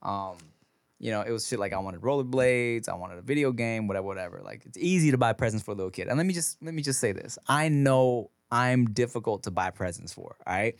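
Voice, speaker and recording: A man's voice: mid-range voice, expressive, brisk, creaky, no room sound, studio quality. A man co-hosting a podcast, telling stories in casual chat, swearing freely and laughing often. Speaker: podcast co-host. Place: podcast studio. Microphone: studio microphone.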